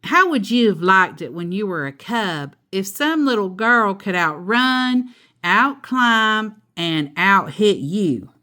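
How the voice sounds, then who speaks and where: A woman voicing a thoughtful, gently worried mother bear in a storybook, mildly fretful as she reasons. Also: medium pitch, expressive, slow pace. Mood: reasoning.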